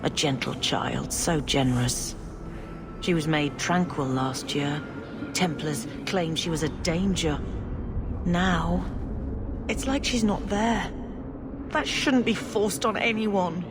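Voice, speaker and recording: A woman's voice: lowish voice, takes it slowly, pauses often. An actress playing a weary, kindly working woman in a game, homely and guarded. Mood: sorrowful. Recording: game dialogue over noisy ambience.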